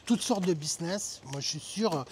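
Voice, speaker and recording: A man's voice: high voice, expressive, moderate pace, slight background noise. A French man who runs a cafe as a pastry chef, chatting casually and hesitantly, with many fillers as he explains things. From France. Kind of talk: casual chat.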